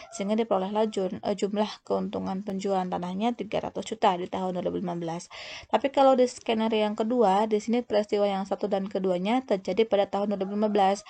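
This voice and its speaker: A woman giving an explanatory lecture as a teacher, speaking haltingly and often restarting words and phrases. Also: medium pitch, gravelly, a little mellow. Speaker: accounting lecturer. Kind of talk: explanatory lecture, unscripted walk-through of worked examples.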